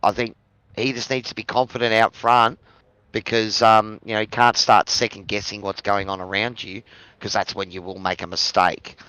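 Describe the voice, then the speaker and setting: Smooth low-voiced Aussie co-commentator. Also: male voice, moderate pace, dry recording, low-quality recording. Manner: relaxed, friendly, coaching tone. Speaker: co-commentator and streamer. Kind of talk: casual commentary banter.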